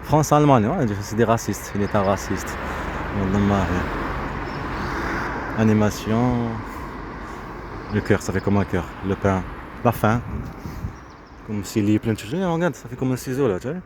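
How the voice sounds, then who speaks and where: A man's voice: on the low side, animated, normal speed, pauses often. A man giving a spontaneous, rambling monologue outdoors, repeating himself in fragmented phrases as his thoughts drift. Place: outdoors near road with vehicles. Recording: noisy outdoor street, traffic noise.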